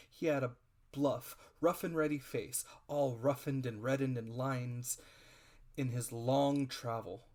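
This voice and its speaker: Male narrator, mid-range voice, dramatic reading, scripted storytelling. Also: medium pitch. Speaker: audiobook reader.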